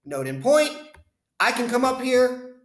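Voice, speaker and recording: A man's voice: very high-pitched, sing-song, measured pace, fairly bright tone, roomy sound. A man walking viewers through a screen-share demo of voicemail to email, explaining in a conversational way and speaking to them directly. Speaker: business phone system consultant. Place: indoor room, webcam inset.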